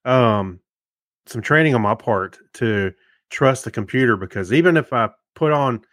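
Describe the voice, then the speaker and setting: A man's voice: medium pitch, expressive, normal speed, a bit bright, no echo. A man in easy podcast banter who wanders through his points and laughs often. His voice sits in a mid range. The recording is dry and close. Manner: rambling, laughs often, restarts. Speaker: podcast co-host. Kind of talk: casual banter.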